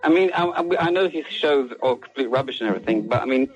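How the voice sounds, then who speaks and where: A man's voice: mid-range voice, lively intonation, fast talker. A man phoning in to a radio show, chatting casually and asking questions. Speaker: radio phone-in caller. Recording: dull lo-fi radio call.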